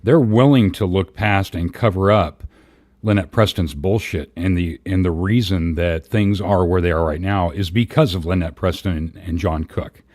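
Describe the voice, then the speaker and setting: A man's voice: deep voice, moderate pace, darkish tone, no room sound, clean studio sound. A man in casual livestream commentary, blunt and profane, rambling through his opinions.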